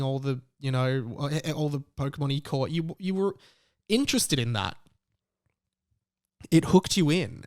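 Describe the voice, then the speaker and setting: A man in his thirties hosting a podcast, giving casual solo commentary in a chatty, animated storytelling way. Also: mid-range voice, smooth. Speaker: podcast host.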